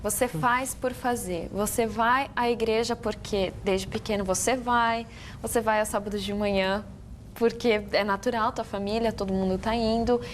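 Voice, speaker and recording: A woman with a Brazilian Portuguese accent, hosting a relaxed youth panel, lively and reflective. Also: a little high, normal speed, slight background noise. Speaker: host. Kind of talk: casual panel chat.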